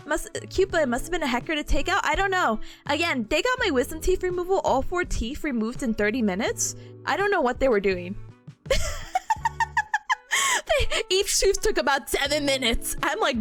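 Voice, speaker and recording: A woman's voice: sits high, a bit bright. A young woman streamer chatting casually with her viewers, bubbly, giggly and playful, reading comments as she goes. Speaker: study streamer.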